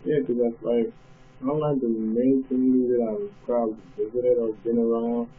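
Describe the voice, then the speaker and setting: Young man, laid-back interviewer, halting speech, phone line sound. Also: medium pitch, steady tone. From Ghana.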